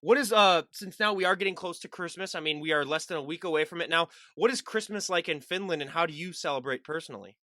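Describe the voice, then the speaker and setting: A young man hosting a podcast, talking fast and rambling through restarts and fillers. His voice is bright and a bit high for a man, recorded dry and close with no room sound. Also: no echo. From Minnesota, USA. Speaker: podcast host. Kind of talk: casual interview chat.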